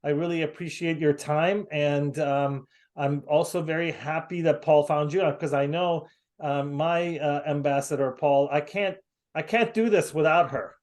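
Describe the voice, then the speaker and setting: Halting American man hosting a call. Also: fairly high pitch, steady tone, darkish tone. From United States. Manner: halting, many fillers and restarts. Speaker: host. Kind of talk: casual video call chat. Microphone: video call.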